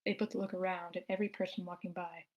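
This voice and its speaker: A woman's voice: lowish voice, level tone, quick pace, muffled recording. A woman reading stage directions as the narrator of a screenplay table read. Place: online meeting, own room.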